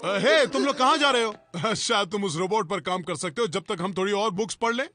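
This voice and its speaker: Excitable, high-voiced cartoon dad. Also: male voice, fairly quick, shouting. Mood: earnest. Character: eager, bumbling cartoon dad reading out quack remedies. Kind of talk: dubbed cartoon acting. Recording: music behind the voice.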